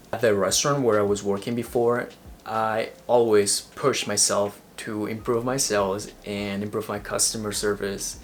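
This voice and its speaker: A man's voice: on the low side, a little hiss or hum. A young man from Ecuador with an Ecuadorian Spanish accent, talking earnestly and motivationally in a personal vlog, now and then restarting a phrase. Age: young adult. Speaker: vlogger.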